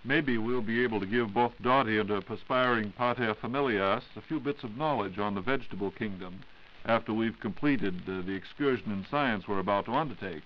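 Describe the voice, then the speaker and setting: A man's voice: fairly low pitch, fairly quick, highs cut off, a little hiss or hum. An American man hosting a 1940s radio program with formal, scripted introductions, recorded on old radio equipment. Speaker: radio announcer and host.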